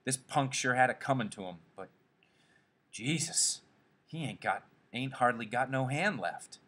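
A man's voice: medium pitch, animated, a little slow, fairly bright tone. A man voicing a stern, fair-minded ranch hand with firm, blunt rural speech. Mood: grave.

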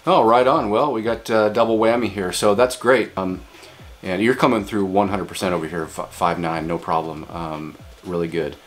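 An American man in his forties with a low voice. He talks casually, as in a hands-on hobby demonstration, recorded in a small home office. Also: fairly even intonation, moderate pace, soft background music. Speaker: amateur radio vlogger. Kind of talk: casual demonstration and radio chat.

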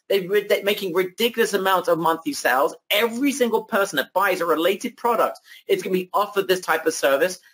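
A man presenting a sales webinar over slides, emphatic and repetitive, his voice going hoarse. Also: high voice, a bit bright. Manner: emphatic, repetitive hype, addresses audience as guys.

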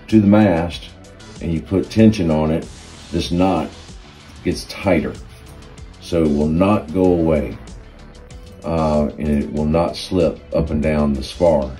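Middle-aged male instructor, deep and unhurried, measured step-by-step demonstration with short pauses. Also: mellow. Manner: measured, pausing between short phrases. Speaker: expert sailor demonstrating.

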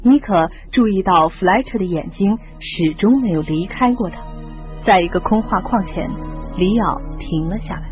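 A woman's voice: mid-range voice, moderate pace. A woman reading scripted narration as the narrator of a radio mystery drama. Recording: muffled lo-fi radio drama, music behind.

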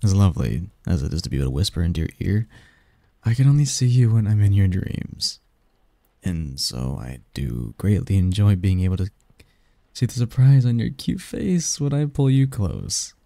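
A man voicing a seductive, doting sleep demon lover in an intimate ASMR roleplay, breathy and coaxing, close to the mic. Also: deep voice, sing-song, dark tone, dry recording, full character voice. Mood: teasing, affectionate. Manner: slow, coaxing, teasing, murmured. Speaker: roleplay audio performer. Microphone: close, dry microphone.

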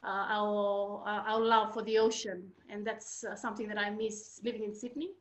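A woman's voice: medium pitch, flat delivery. A woman in her fifties with a Fijian accent, sharing a personal story hesitantly in an informal online talk. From Fiji. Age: 50s. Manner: hesitant, halting, frequent pauses. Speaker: guest speaker, architecture researcher. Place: small indoor room at home. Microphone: computer mic on video call. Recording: roomy, echoey video-call sound.

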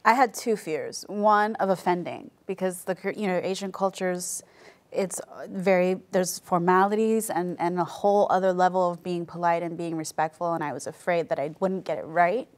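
A woman's voice: fairly low pitch, normal speed. A woman in her thirties speaking reflectively as a panelist in a studio discussion, on a lapel mic. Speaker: panelist on a religious study show.

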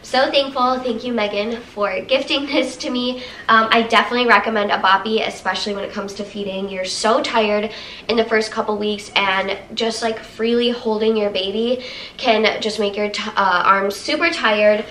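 A woman's voice: a little high, steady tone, normal speed, fairly bright tone, slight background noise, poor audio. A young woman with a stuffy, nasal voice from a cold, chatting cheerfully to camera as she shows baby items in her living room. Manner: chatty, upbeat, laughs often. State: congested, nasal. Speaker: vlogger. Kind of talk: casual haul video. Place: living room, seated on the floor. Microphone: camera held at arm's length, then a camera across the room.

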